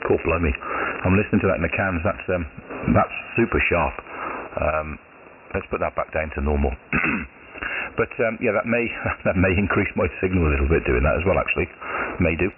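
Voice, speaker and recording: A British man with a very deep, low voice talks easily over amateur radio, filling his pauses with ums and restarts. His voice arrives through a tinny, narrow shortwave signal. A faint hiss runs underneath. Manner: relaxed, chatty, many ums and restarts. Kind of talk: casual radio chat.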